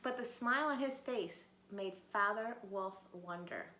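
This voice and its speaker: A woman teacher reading a storybook aloud to children, animated and talking to them directly. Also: mid-range voice, measured pace. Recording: roomy echo, faint background noise.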